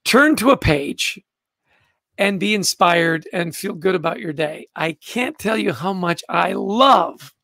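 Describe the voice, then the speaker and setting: Older male host, Canadian-born, high and gravelly, eager casual book-club chat, dry close sound. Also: animated, slow, sharp tone, no echo. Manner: enthusiastic, greets viewers by name.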